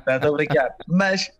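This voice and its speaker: A man's voice: a little high, muffled recording. A man from Madeira with a Madeiran Portuguese accent, a comedian chatting casually in a teasing, joking, slangy way. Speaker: stand-up comedian. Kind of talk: casual bantering chat.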